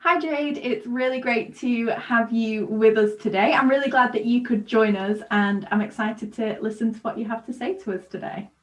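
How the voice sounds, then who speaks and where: A woman's voice: a little high, fairly even intonation, normal speed, few pauses. A woman co-hosting a casual online faith chat, talking conversationally with plenty of "like" and "you know". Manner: warm conversational with fillers. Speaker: co-host.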